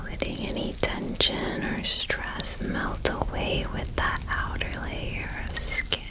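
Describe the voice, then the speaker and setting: Girl doing a sweet bestie dragon lady, asmr spa roleplay, all breathy whispers, super slow, soothing n slangy. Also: natural voice. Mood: soothing, gentle. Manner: soothing, chatty, slangy reassurance. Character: friendly, pampering female dragon spa healer, bubbly bestie.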